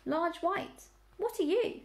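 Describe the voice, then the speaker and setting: A woman's voice: extremely high pitch, animated, slow pace, pitched above the performer's own voice, full character voice. A woman voicing a polite, innocent young boy piglet in a storybook, earnest and eager in manner. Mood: insistent, curious.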